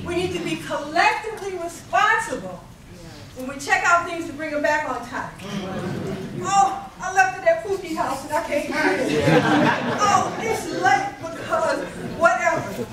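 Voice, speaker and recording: A woman who manages a library branch, earnestly urging a community gathering to support it. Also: very high-pitched. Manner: earnest, pleading, lively laughs. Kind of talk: community address. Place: community event in a library hall. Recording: echoey room from far off with crowd.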